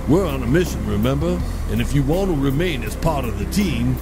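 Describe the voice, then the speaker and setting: Man acting a brash, lively wisecracking schemer. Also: medium pitch, fairly quick, over music, natural voice. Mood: bossy, impatient. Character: brash, scheming, crude-joking crew member Uncle Shady. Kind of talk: comedy audio drama acting.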